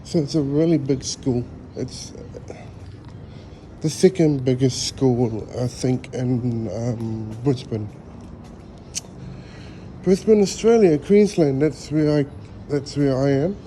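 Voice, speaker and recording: Slow, relaxed New man outdoors. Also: medium pitch, slight background noise, low-quality recording. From New Zealand. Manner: relaxed, rambling, with hesitant fillers. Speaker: vlogger. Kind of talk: casual walking tour vlog. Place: outdoors at a school grounds.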